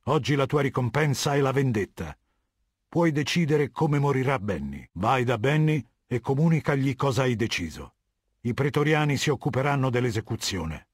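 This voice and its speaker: A middle-aged warlord who rules a legion in a video game. His voice is husky and mid-pitched, recorded dry and close. He speaks with cold, condescending command and an edge of menace. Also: animated, normal speed, dry recording. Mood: cold, menacing. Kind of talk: video game dialogue, Italian dub.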